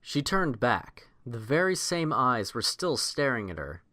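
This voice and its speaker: A man narrating a scripted story as an audiobook reader, in measured literary storytelling. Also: mid-range voice, very animated, sharp tone.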